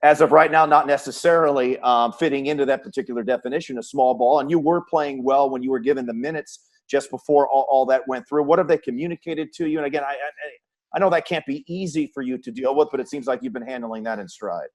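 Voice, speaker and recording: Man hosting a sports interview, halting phrasing. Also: medium pitch. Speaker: host, sports play-by-play announcer. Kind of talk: sports interview, conversational questions.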